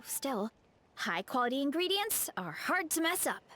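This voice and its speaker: A woman's voice: sits high, moderate pace. An actress voicing a friendly, easygoing young woman from a tribe who cooks and laughs easily. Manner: relaxed, generous, laughs easily. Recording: game audio with faint background music.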